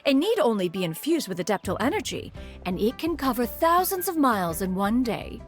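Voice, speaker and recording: Casting: haughty ageless female game immortal, grandiose old-fashioned diction, animated pitch swings, music behind. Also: fairly quick. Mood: boastful. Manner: pompous, archaic formal speech, refers to self as "one". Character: proud, haughty ancient immortal bird adeptus, a woman master. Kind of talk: video game character dialogue.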